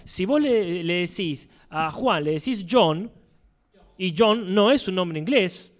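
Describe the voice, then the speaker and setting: A man's voice: sits high, lively intonation, slow. A man with an Argentine Spanish accent hosting a casual talk show, debating in an emphatic way.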